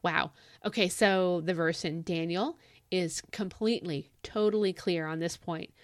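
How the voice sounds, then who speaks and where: A woman's voice: lowish voice, fairly even intonation, unhurried, no echo, clean studio sound. A woman giving a casual, earnest Bible devotional in a conversational, emphatic way, her voice slightly scratchy as if starting to go. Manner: conversational, earnest, emphatic repetition of key words. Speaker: podcast host teaching the Bible.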